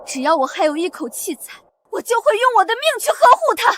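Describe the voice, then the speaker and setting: A loyal young noble wife who speaks fiercely from the heart, her voice very high and wildly expressive. Her words come earnest and brave. A faint hiss sits under them. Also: quick pace, exaggerated voice. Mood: defiant. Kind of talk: costume drama acting.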